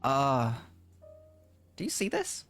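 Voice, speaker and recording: A woman's voice: rumbling low, huge pitch swings, takes it slowly, soft background music. A woman streaming a game, reacting casually with sarcasm and disbelief. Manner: sarcastic, incredulous.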